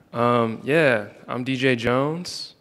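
A young man reading his own spoken word poetry into a handheld microphone, soft-spoken and hesitant between poems. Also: mid-range voice, in a large hall, background noise. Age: young adult. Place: indoor room, standing by a wall.

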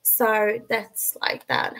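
A young woman from Sweden with a Swedish accent and a high, feminine voice, chatting casually with viewers on a webcam livestream from her bedroom, full of fillers and restarts. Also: monotone, drawn-out words, a bit bright. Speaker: livestream host. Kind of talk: casual live chat answering viewers. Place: bedroom at home, indoors.

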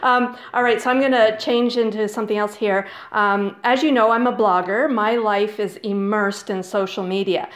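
A woman's voice: medium pitch, steady tone, moderate pace, hardly any pauses. A woman blogger interviewing a guest in a bubbly, eager way, laughing often. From Canada. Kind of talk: casual interview.

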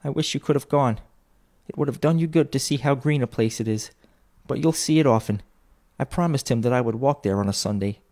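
A man's voice: medium pitch, brisk, darkish tone, pitched above the performer's own voice, close to an everyday voice. A man voicing a kindly, bereaved poor clerk and father in a storybook, earnest and heartfelt. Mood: tender grief.

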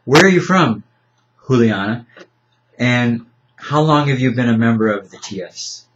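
An older man, a librarian, hosts an interview in a book-lined library room, talking with many hesitations and a gentle joking tone. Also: mid-range voice, lively intonation, measured pace. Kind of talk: casual interview. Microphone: camera mic at table distance. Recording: slightly dull lo-fi webcam audio.

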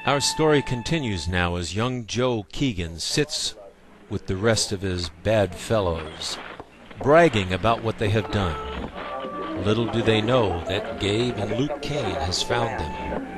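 A man narrating an old-time radio western in a dramatic, announcer-like way, with loud guitar music behind him. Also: fairly low pitch, lively intonation, takes it slowly. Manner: theatrical, announcer-like.

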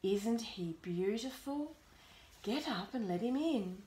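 Gentle old storybook widow, played by a woman reader, soft and coaxing, slow, in a roomy space. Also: on the high side, lively intonation. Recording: roomy echo, background noise.

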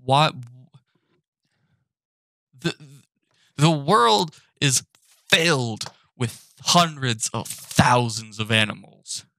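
A young man ranting profanely and with exasperation from his apartment, now and then breaking into shouts. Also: medium pitch, lively intonation, very slow, gravelly, long pauses, dry recording. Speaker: animated channel host. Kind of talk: ranting commentary.

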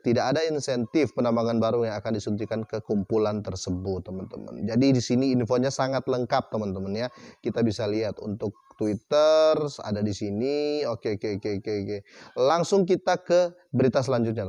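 A man giving casual commentary as a crypto channel host, reading text aloud haltingly and tagging "teman-teman" onto most phrases. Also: medium pitch, darkish tone, dry recording. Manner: chatty, repeats "teman-teman" after most phrases. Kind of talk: casual screen-share commentary.

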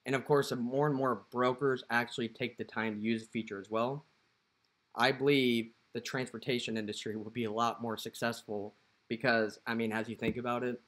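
A man's voice: medium pitch, a bit bright. A young man, a dispatcher, talking casually to the camera through an app walkthrough, with lots of "you know" fillers, recorded close up in a dim indoor room.

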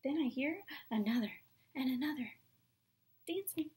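A young adult woman reading picture books to children at story time in a soft, hushed, breathy manner. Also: sits high, animated, normal speed. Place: small room at home, indoors.

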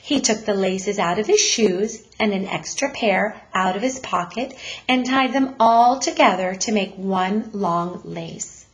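A woman narrates a children's storybook in a teacher's read-aloud style. Her pace is slow and deliberate, her delivery expressive, her pitch middling.

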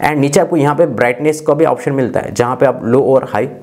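A man's voice: medium pitch, quick pace, darkish tone. A man in his twenties with an Indian accent, presenting a tech walkthrough step by step and mixing in English technical terms. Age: 20s. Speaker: tech reviewer and channel host. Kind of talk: scripted-sounding phone feature walkthrough.

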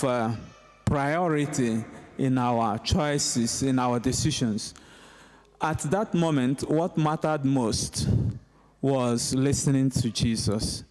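A middle-aged man, a priest, delivering a homily in a measured, deliberate way with pauses between short phrases. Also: medium pitch, a little mellow. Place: inside a large church, at the lectern. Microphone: gooseneck lectern microphone.

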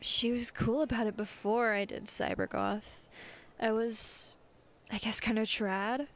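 A woman's voice: fairly high pitch, steady tone, unhurried. A woman playing a chatty young cybergoth college student in a casual ASMR roleplay. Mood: animated storytelling.

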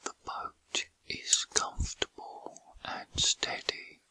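A man whispering a guided meditation in a soothing, gentle, breathy ASMR style with unhurried pauses. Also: no room sound, poor audio.

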